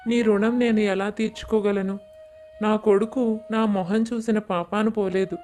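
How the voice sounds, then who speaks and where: A woman's voice: medium pitch, steady tone, normal speed, a little mellow, faint music behind, natural voice. A woman voicing a poor, grateful old man in a moral story, humble and sorrowful. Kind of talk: animated moral story dialogue.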